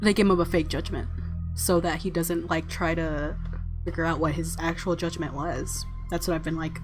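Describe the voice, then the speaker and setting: A woman's voice: low-pitched, steady tone, moderate pace. A woman hosting a gaming video, reading visual novel narration expressively and adding casual commentary. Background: game music.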